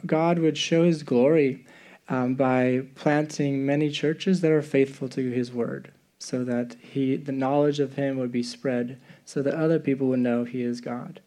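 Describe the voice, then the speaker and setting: A man from the Czech Republic speaking as a missionary preacher, giving an earnest, halting talk to a congregation and often rephrasing himself. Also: mid-range voice, mellow. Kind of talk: sermon and missions presentation to a congregation.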